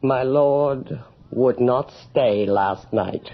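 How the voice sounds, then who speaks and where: A bloodthirsty brooding countess, super slow theatrical delivery, old radio horror, echoey. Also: female voice, a bass voice, heavily acted. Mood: grieving, obsessed. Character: obsessed, bloodthirsty noblewoman pining for her dead lord. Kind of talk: 1980s radio horror drama acting. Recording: echoey, dull radio recording with music.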